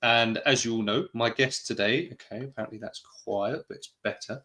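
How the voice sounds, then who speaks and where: A man's voice: mid-range voice, moderate pace, pushed and bright. British male host, casual live chat, friendly rambling asides. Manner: chatty, greets viewers, rambling asides. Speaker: podcast host.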